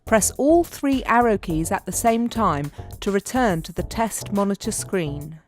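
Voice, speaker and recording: A woman narrates an instructional training film with a measured, step-by-step delivery. Her voice sits at an average pitch for a woman, and music plays behind her as she reads from a script. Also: animated.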